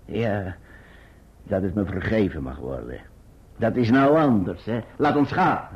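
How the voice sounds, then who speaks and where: A man's voice: on the low side, big pitch swings, a little slow, a little mellow, full character voice. An actor playing a devoted older working man in a Dutch radio play, stammering and repeating his words. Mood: remorseful, resolute. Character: Baas Peggotty, devoted older working man searching for lost Emily. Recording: muffled, husky old radio recording.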